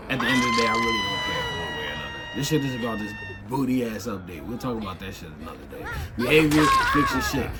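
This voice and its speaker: A man streamer ranting in loud, emphatic, profane commentary. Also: medium pitch, very noisy. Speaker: game streamer.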